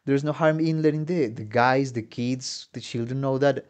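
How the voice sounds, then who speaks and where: A man's voice: medium pitch, normal speed, a little mellow. A man in his thirties giving casual reaction commentary as a musician. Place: small home music room. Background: game trailer music.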